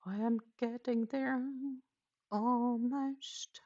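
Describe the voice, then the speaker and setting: A woman hosting a casual craft livestream, chatting haltingly and muttering to herself in a self-deprecating way. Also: on the high side, steady tone, mellow, lo-fi sound. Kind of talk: casual livestream chat while knitting.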